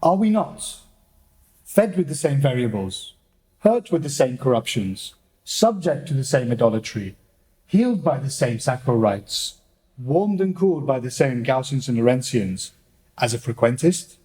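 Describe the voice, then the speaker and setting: A man's voice: medium pitch, big pitch swings, a little slow, mellow, breathy edge, poor audio. A man playing a grandiose theatre host and poet in a radio play, pompous and declamatory.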